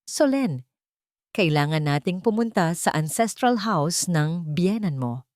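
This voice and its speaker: A devoted middle-aged lawyer, played by a woman, earnest and determined in an audio drama. He speaks with solemn reassurance in a low-set, close and dry voice, moving between English and Tagalog. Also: lively intonation, measured pace, a little mellow, dry recording. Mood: calm, determined.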